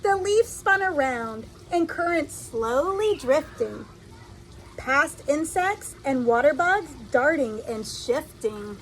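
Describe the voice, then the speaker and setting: High, animated woman reading a children's story. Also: slow pace, a little hiss or hum, low-quality recording. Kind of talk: expressive read-aloud storytelling for children.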